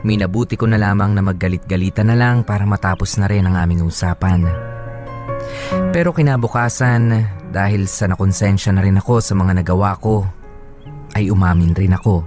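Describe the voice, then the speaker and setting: A low-pitched husband played by a man confesses in a measured, earnest way. He is a reformed cheat telling his story in a radio drama, with music behind him. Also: steady tone, natural voice. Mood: remorseful, earnest. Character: remorseful former womanizer husband narrating his letter.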